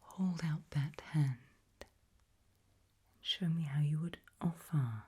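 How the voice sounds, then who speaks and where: A British woman performing an ASMR roleplay in a breathy, gentle whisper with deliberate delivery. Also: low-pitched.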